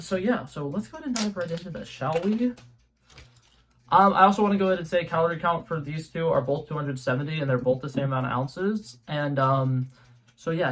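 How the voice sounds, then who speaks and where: A young man with a fairly high voice chats his way through a taste test from his room at home. He rambles, restarts his sentences and fills the gaps with ums, in a loose and casual vlog manner. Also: a bit bright. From New England. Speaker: YouTube host. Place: home room with a color-changing globe light.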